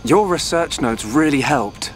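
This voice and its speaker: An actor playing an earnest, thoughtful teenage researcher in a video game cutscene. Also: male voice, a little high, expressive, unhurried, slight background noise.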